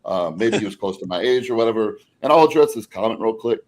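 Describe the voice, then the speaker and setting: A man's voice: on the low side, lively intonation, fast, a little mellow. A man in his forties hosting a casual podcast chat, talking bluntly and rambling, with swearing. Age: 40s. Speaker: podcast host.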